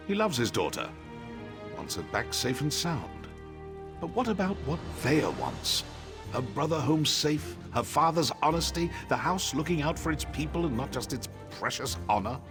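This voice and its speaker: An earnest conspirator in a fantasy game. His mid-range voice moves expressively and presses its point with protective conviction. Music plays clearly behind him. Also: medium pitch, sing-song, moderate pace. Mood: earnest, indignant. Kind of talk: video game character acting.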